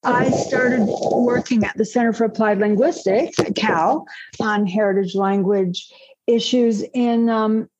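A woman, a language-education specialist, answering panel questions in a measured, earnest way. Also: medium pitch, mellow, breathy edge. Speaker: applied linguistics specialist, panelist. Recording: dull lo-fi video call audio.

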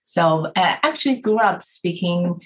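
A woman from Taiwan answers as a panelist in a low voice. She speaks carefully, pausing often with ums as she works through her points on a video call. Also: expressive. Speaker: researcher and panelist.

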